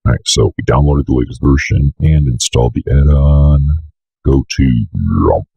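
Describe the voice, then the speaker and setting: A young man with an extremely low voice, full of heavy vocal fry. He talks in an offhand, casual way, half to himself, as he walks through a software setup. The sound is dry and close. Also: normal speed, dry recording, lo-fi sound. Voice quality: gravelly, raspy. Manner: offhand, muttering to himself while working. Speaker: tutorial host. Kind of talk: casual unscripted software walkthrough.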